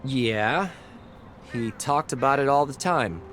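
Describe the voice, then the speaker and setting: A man's voice: medium pitch, animated, slow, fairly bright tone, a little hiss or hum. An actor playing an eager, proud young male engineer and rocket inventor in a video game, with a wry, casual manner. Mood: reflective, subdued. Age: young adult. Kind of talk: video game character dialogue.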